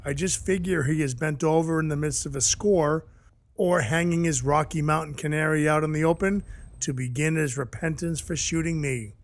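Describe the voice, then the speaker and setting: A man playing a cynical, hard-bitten Icelandic dwarf who works for Santa, narrating an audio drama in a wry, deadpan, formal way. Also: fairly high pitch, moderate pace, lightly acted. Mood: wry, brooding. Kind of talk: noir-like first-person audio drama narration and dialogue. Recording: music behind the voice.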